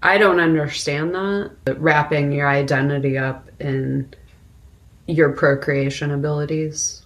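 A woman co-hosting a podcast, talking casually about films and pausing deliberately mid-sentence. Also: low voice, fairly even intonation. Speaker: podcast co-host. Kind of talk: casual film discussion.

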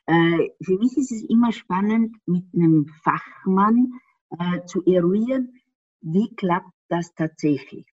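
A woman's voice: fairly low pitch, slow, a little mellow, slightly rough, dry recording. A woman from Austria with an Austrian accent, explaining things animatedly in an interview, laughing often and asking rhetorical questions. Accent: Austrian German. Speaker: interview guest. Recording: slightly dull dry sound.

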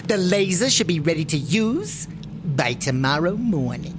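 A woman playing a scheming, cheerfully menacing synagogue elder in a comic audio drama, coaxing with mock-gentle menace. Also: lowish voice, very animated, a little slow, music in the background. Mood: matter-of-fact.

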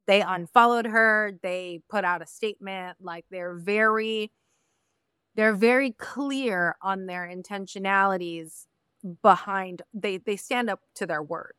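A woman's voice: medium pitch, unhurried, a bit bright, clean tone, dry recording, studio quality. A woman from Mexico hosting a podcast interview, speaking candidly and repeating her points for emphasis. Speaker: podcast host. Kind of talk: casual interview conversation.

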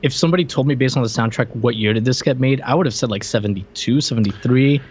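A man's voice: medium pitch, fast, darkish tone, slight background noise. A man co-hosting a podcast, discussing films casually in loose, slangy, conversational phrasing. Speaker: podcast co-host.

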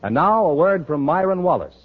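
A man's voice: a little high, very animated, fairly quick. A man announcing an old-time radio quiz show on a vintage broadcast recording. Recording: dull vintage radio recording, loud music. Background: loud music.

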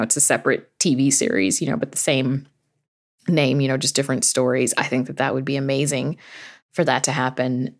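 A woman speaking as an interview guest, explaining her thoughts casually and peppering them with "you know.". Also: sits low. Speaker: podcast creator and narrator, interview guest.

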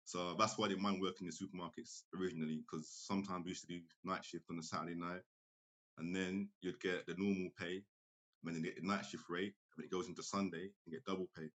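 A man talking as a guest on a video call, relaxed and rambling, often agreeing. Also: deep voice, quick pace. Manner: relaxed, affirming, rambling with fillers. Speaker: guest property investor. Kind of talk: casual online interview chat.

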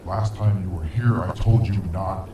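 A man playing a menacing interdimensional monster in a sci-fi audio drama, speaking with slow, deliberate threat. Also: low-pitched, highs cut off, background noise. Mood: cold menace. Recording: echoing voice under music.

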